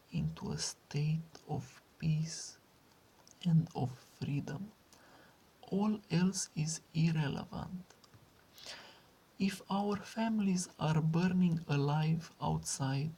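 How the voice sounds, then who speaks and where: A woman with a low, mellow voice guides a meditation in a hushed tone. She speaks very slowly, leaving long pauses and repeating phrases soothingly. Her husky, breathy delivery often drops into a whisper.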